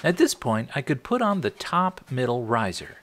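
Middle-aged american guy doing wry how-to narration, really animated pitch, noisy clicks behind him. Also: moderate pace. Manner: wry, tongue-in-cheek. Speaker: host of a woodworking channel. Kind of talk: scripted tutorial narration.

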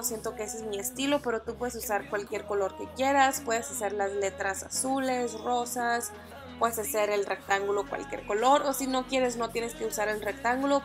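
A young woman whose first language is English, chatting upbeat through a screen-recorded tutorial with small fillers and restarts. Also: a little high. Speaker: beauty vlogger.

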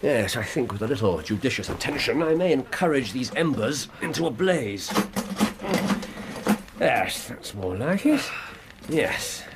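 A brisk gentleman detective with an English accent in a radio play. His mid-pitched voice moves with great variety, precise and drily wry. A faint background noise sits underneath. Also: very animated. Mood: brisk, hospitable. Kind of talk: BBC radio drama acting.

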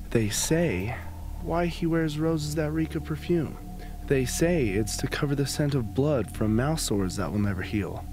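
A young man acting in a radio play as a young rebel revealing a tyrant's dark secrets. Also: medium pitch, lightly acted. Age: young adult. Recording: noticeable background noise.

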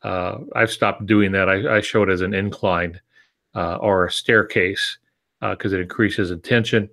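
A man's voice: low voice, fairly even intonation, normal speed, vocal fry, a bit bright. A man in his sixties explaining things informally, with easygoing asides and disclaimers, on a video call from a home office. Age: 60s. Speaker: co-host and writing teacher.

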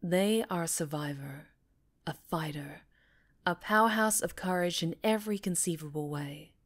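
A woman's voice: on the low side, normal speed, close to an everyday voice. A woman playing a polished, encouraging female talk show host in a scripted ASMR roleplay, articulate and reassuring with a showy presenter's delivery. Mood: earnest, showy.

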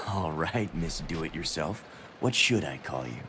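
Deep-voiced, cocky young tough guy. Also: expressive, slow, background noise, exaggerated voice. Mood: wry, mocking. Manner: sardonic, brusque, mocking. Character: cocky, cynical young male escaped convict, tough. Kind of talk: video game cutscene acting. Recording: rumbling train ambience behind.

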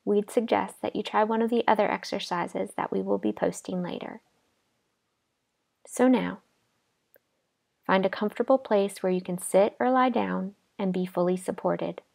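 A woman leading a guided imagery relaxation exercise in a calm, gentle, unhurried manner with pauses. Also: medium pitch, vocal fry. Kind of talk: guided imagery meditation narration.

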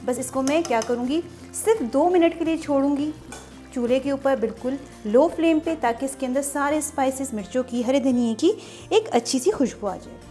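A woman's voice: sits high, moderate pace, dark tone, soft background music. A woman hosting a cooking show, explaining each step chattily and often praising the dish. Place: home kitchen at the stove.

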